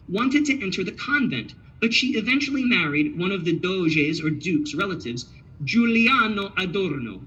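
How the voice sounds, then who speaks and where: A man delivers a scripted history lecture in a high, bright voice with a touch of huskiness. He talks emphatically, dropping in Italian words to drive his points home. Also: breathy edge, highs cut off.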